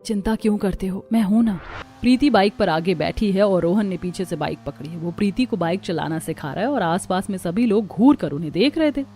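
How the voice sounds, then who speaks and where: A woman's voice: fairly low pitch, expressive, fairly quick, few pauses, a little mellow, a little hiss or hum. A woman reading a scripted story as its narrator.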